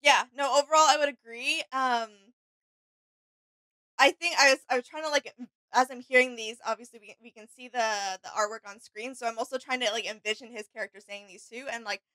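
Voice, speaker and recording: A young woman shares casual reaction commentary as a co-host. Her voice is high-pitched and bright, recorded dry in a small room. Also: a little fast, no echo, poor audio. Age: young adult. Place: small indoor room with gaming setup.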